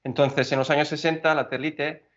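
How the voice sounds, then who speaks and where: A man's voice: on the high side, little pitch movement, a bit bright. Italian-accented man, hesitant guest, muffled lo-fi sound. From Italy. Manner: halting, many fillers and restarts. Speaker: guest engineer. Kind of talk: podcast interview.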